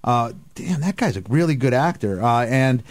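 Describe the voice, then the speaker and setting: A man's voice: medium pitch, animated, moderate pace. A man hosting a casual radio panel discussion, opinionated and emphatic. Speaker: radio show host.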